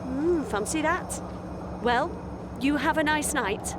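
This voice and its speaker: A woman's voice: sits high, slow. An actress playing a friendly, casually curious small-town woman in an audio drama, chatting without hurry. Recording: loud outdoor ambience noise.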